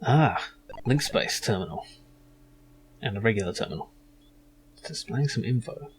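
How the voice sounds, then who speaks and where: A man's voice: medium pitch, lively intonation, moderate pace, slight background noise, rough recording. A man giving casual commentary on a game he is playing, musing and a little hesitant as he goes. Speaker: gaming video host.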